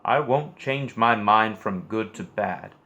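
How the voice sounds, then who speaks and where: A man's voice: fairly low pitch, slow pace, sharp tone, highs cut off. A steadfast, wise old bishop in his eighties, read by a male storyteller. He speaks firmly and with resolve, in a storybook style. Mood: defiant.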